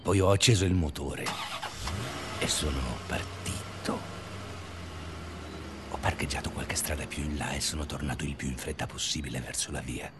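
An actor playing an obsessive, bitter stalker confessing in a brooding, intimate radio drama monologue. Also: male voice, a bass voice, normal speed, long pauses, background noise. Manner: brooding, intimate narration with agitated surges. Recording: music under the voice. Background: music.

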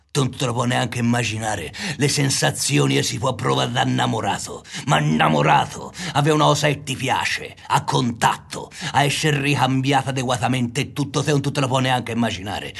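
An earthy, stubborn romantic with a broad Tuscan accent and a gravelly, creaky voice of medium pitch. He brags fearlessly about being in love, in colloquial radio drama style. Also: expressive, moderate pace, hardly any pauses, a bit bright, exaggerated voice. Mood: proud, insistent. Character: boastful, fearless, lovestruck man, earthy and stubborn. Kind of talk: colloquial radio play dialogue.

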